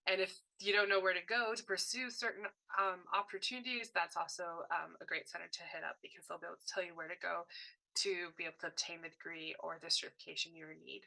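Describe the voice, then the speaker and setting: A woman's voice: fairly low pitch, steady tone, fast, creaky, bright, forceful tone, poor audio. A woman voicing a deaf presenter's signed workshop talk in short, broken phrases, often correcting herself mid-sentence. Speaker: sign language voice interpreter.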